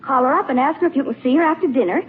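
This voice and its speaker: An actress playing a brisk, sensible young niece in a 1940s radio comedy. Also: female voice, sits high, a little hiss or hum, lightly acted. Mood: brisk, advising. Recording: narrow-band 1940s radio recording.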